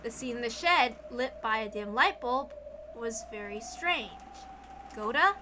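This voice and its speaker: A woman reading a dramatic story aloud as narrator, expressive, theatrical and deliberate. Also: on the high side, noisy background. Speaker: reader of a visual novel. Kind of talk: dramatic scripted narration with acted dialogue. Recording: background music under the reading. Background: music.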